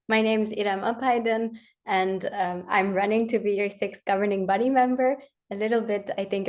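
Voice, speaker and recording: A woman's voice: mid-range voice, a little mellow. A young woman from Turkey, giving a fluent, organized self-introduction as an election candidate over a video call.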